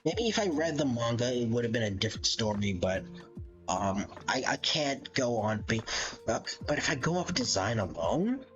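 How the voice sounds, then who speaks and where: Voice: medium pitch, big pitch swings, moderate pace. A streamer giving casual livestream commentary, rambling and thinking aloud in an amused, casually sweary way. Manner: amused, laughs often. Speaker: live streamer. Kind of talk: casual livestream commentary, ranking game characters. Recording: dull lo-fi mic with background music. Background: background music.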